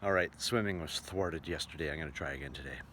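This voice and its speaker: A man's voice: very deep voice, fast talker. A man chatting casually to camera as a travelling entertainer keeping a video diary. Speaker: touring radio-show entertainer and vlogger. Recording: outdoor recording with clear background noise.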